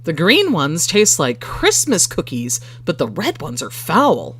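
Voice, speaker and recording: A storyteller voicing an excitable, opinionated dinner guest in a short story, speaking emphatically. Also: mid-range voice, a little slow, husky, heavily acted. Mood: argumentative.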